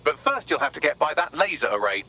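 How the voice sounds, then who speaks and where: A man's voice: a little high, very animated, fast talker, sharp tone, breathy edge, heavily acted. A man playing a witty, sardonic artificial intelligence assistant in a video game, with a dry, wordy, sarcastic delivery. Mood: dry, instructive. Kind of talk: scripted video game mission dialogue.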